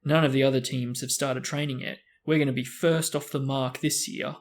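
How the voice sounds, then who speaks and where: A man's voice: medium pitch, flat delivery, moderate pace, lightly acted. A man voicing a burly teenage sports captain, hearty and keen.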